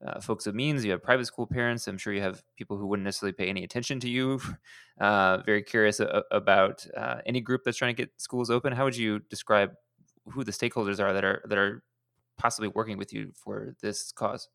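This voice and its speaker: A man's voice: on the low side, fairly even intonation, a little fast, gravelly, a bit bright, clear, resonant tone, no echo, clean studio sound. A man hosting a podcast, asking long, conversational, rambling questions. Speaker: podcast host. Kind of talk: interview questions.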